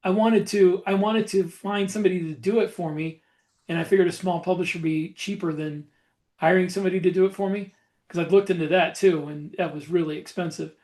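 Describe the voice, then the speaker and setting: A man co-hosting a podcast in casual conversation, halting at times as he repeats and rephrases his points. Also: high-pitched, fairly even intonation, a little mellow. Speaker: podcast co-host and author.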